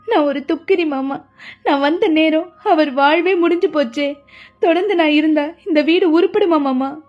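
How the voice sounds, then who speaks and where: A woman's voice: sits high, normal speed, dull audio, soft background music, lightly acted. A woman voicing a grieving young widow who talks in a tearful, pleading way. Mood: despairing.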